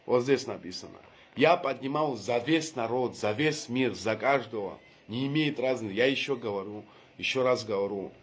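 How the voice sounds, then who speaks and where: Guy talking straight to camera, earnest, keeps repeating himself, mid pitch. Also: moderate pace, husky. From Abkhazia. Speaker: video blogger making a public apology. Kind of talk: direct-to-camera personal appeal.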